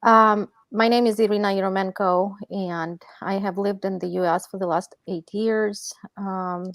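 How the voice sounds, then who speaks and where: A woman from Ukraine speaking as an interview guest, measured and thoughtful, with soft hesitations. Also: medium pitch, fairly even intonation, no room sound, studio quality. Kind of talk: conversational interview.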